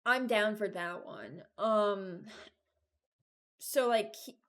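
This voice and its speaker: A young adult woman giving casual, unscripted reaction commentary, hesitant and thinking out loud. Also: medium pitch, slow pace. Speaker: video creator ranking game voice lines.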